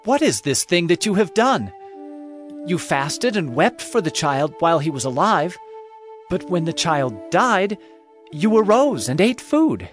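A man's voice: high voice, unhurried, soft background music. A man playing an anxious, earnest servant of an ancient king in an audio Bible drama. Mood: puzzled. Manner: earnest, animated.

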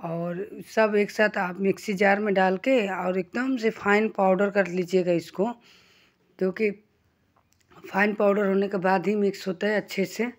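A woman's voice: mid-range voice, steady tone, a little slow. A woman hosting a home cooking channel, giving chatty step-by-step instructions as she cooks in her kitchen. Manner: chatty and homely, explaining as she works.

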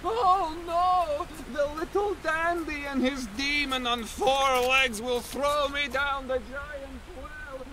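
A woman's voice: high-pitched, slow, few pauses, a crowd in the background, poor audio. A woman voicing a terrified grown man who pleads shrilly for rescue in a radio drama. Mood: panicked. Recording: steady background noise.

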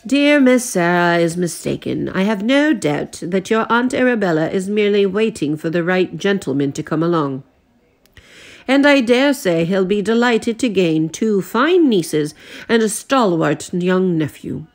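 A woman's voice: mid-range voice, lively intonation, measured pace. Woman reading a composed formal butler. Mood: soothing. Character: calm, proper, unflappable male butler.

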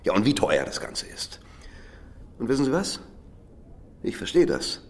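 A man's voice: medium pitch, huge pitch swings, unhurried. An actor playing a cynical, defensive middle-aged businessman under interrogation in a radio crime drama, self-justifying and swinging between muttering and heated outbursts. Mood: cynical, scornful. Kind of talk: naturalistic German radio drama acting.

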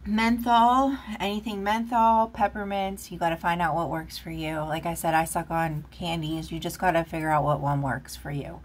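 A woman's voice: on the low side, little pitch movement, moderate pace, hardly any pauses. A woman giving a casual, rambling tarot reading, chatting with lots of fillers while recorded off camera at a table indoors. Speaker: tarot reader.

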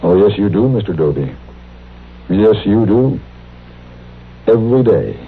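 Very deep-voiced, reserved western doctor. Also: male voice, big pitch swings. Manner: terse, measured, courteous. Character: reserved, dignified male visiting doctor. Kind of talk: 1950s-60s radio western acting. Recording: muffled, hissy old radio recording.

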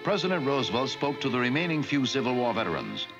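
A man's voice: mid-range voice, big pitch swings, fast talker. A man reading scripted documentary newsreel narration. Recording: slightly dull sound under loud music. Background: loud music.

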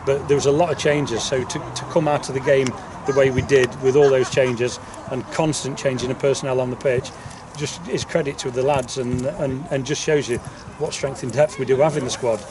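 A man's voice: medium pitch, steady tone, brisk, few pauses. Middle-aged man, distant outdoor recording. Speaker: football manager being interviewed. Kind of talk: informal post-match interview. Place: outdoors on a football pitch. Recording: distant outdoor mic, clear background noise.

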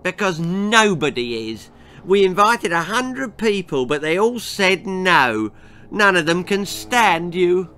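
A man's voice: high voice, sing-song, slow, pitched above the performer's own voice, heavily acted. An older man voicing a mean young girl who taunts and goads with smug spite in a children's storybook reading. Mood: smug cruel. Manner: smug, goading, loud.

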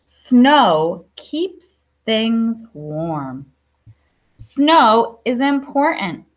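High, animated woman reading to children. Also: extremely slow, poor audio. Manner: animated, enunciated reading with questions to listeners. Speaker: primary school teacher. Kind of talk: read-aloud to young children with chatty asides. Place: indoor classroom.

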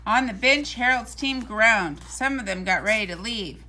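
Female storybook reader, bright and forceful, higher-pitched, expressive and deliberate. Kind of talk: scripted read-aloud of a children's storybook.

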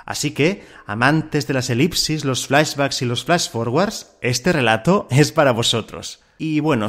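Man narrating a story podcast. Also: mid-range voice.

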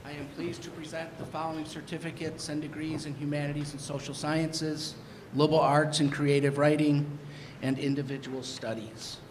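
Higher-voiced man announcing names over noise. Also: level tone, moderate pace. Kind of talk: formal roll call of names. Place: auditorium. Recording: clear background noise, hall ambience.

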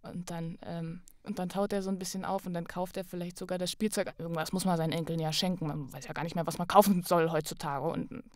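A woman's voice: fairly low pitch, steady tone, fast talker, hardly any pauses, a little mellow, no room sound. Young woman chatting, dry close sound. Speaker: podcast guest, actress. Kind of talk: casual conversational interview.

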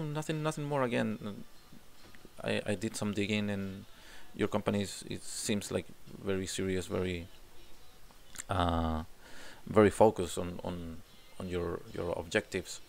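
Chilean man with a gravelly low voice. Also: fairly even intonation, unhurried, a little mellow. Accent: Chilean Spanish. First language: Spanish. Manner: thoughtful, repeats words while searching for them. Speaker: job applicant, English teacher. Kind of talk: self-recorded interview answers. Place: home room with guitars on the wall. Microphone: desk condenser mic in frame.

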